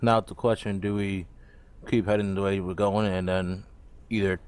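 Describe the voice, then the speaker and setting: A man's voice: deep voice, little pitch movement, normal speed, a little mellow, smooth. A man playing a role-play game, talking casually with the group about what to do. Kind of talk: casual chat.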